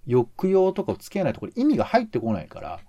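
A man's voice: medium pitch, huge pitch swings, fast, mellow, no echo. A man co-hosting a casual podcast discussion, explaining his points as he thinks aloud. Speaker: podcast co-host. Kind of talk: casual podcast discussion critiquing radio shows.

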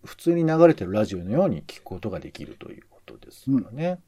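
A man's voice: lowish voice, very animated, fast, warm tone, breathy edge, dry recording. A man co-hosting a podcast, talking casually in a musing, explanatory way that often hedges. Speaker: podcast co-host.

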